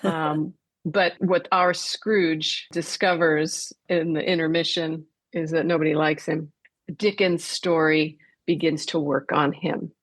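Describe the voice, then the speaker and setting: A woman's voice: deep voice, level tone, takes it slowly, no echo. A woman being interviewed on the radio as a theatre director, explaining things conversationally with detailed examples.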